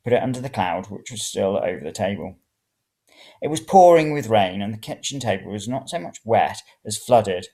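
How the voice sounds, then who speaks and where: A narrator reading first-person serial fiction in an expressive, dramatic way. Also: mid-range voice, moderate pace. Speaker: story reader.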